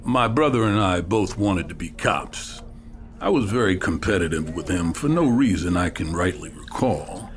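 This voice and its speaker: Low-voiced, reflective male detective. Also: expressive, moderate pace. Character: reflective male police detective confiding his childhood. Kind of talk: audio drama monologue.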